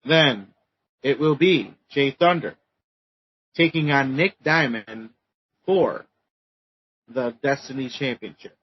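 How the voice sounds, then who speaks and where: A man co-hosting a podcast, casually recapping results with many ums and pauses. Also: medium pitch, animated, husky, no echo, dull audio. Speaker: podcast co-host. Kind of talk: casual chat.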